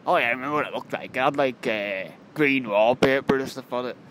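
A man's voice: medium pitch, quick pace, a bit bright, natural voice. A man with a British accent playing an offhand pirate captain, rambling vaguely as a witness in an improvised comedy film. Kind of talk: improvised comedy film acting, casual chat. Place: outdoors by a rocky seafront. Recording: windy, very noisy outdoor camera audio.

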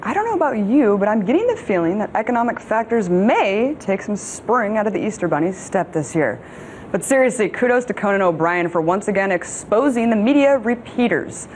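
A woman's voice: mid-range voice, big pitch swings, fairly quick, a little mellow, a little hiss or hum. An American woman in her thirties presenting scripted opinion commentary in an emphatic, sardonic manner as a news show host. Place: TV studio.

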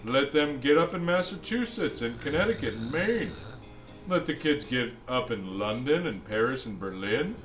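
A man's voice: mid-range voice, expressive, normal speed, a bit bright, lightly acted. A man voicing a jolly old Santa Claus who reads a picture book to kids in a chatty, exclaiming way. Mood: playful storytelling. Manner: exclaiming, chatty asides between lines. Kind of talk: animated storybook read-aloud. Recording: music behind the voice.

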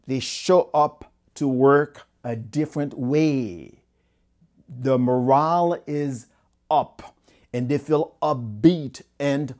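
A man's voice: mid-range voice, mellow. A middle-aged man with a Haitian accent speaks straight to camera as a motivational speaker, talking earnestly about the workplace, recorded in a studio on a lapel mic. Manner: earnest, gestures with both hands while talking. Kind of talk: direct-to-camera talk.

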